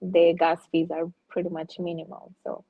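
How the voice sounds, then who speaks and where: A woman's voice: on the low side, monotone, moderate pace, creaky. A woman from Russia who has also lived in Colombia, chatting loosely and enthusiastically as an interview guest on dull, lo-fi audio. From born in Russia, lived in Colombia. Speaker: NFT artist, interview guest. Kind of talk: casual chat.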